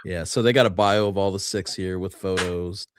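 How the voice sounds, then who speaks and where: Male co-host, lowish pitch, casual conversational delivery, very dry recording with no room echo. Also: steady tone, normal speed. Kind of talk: casual chat.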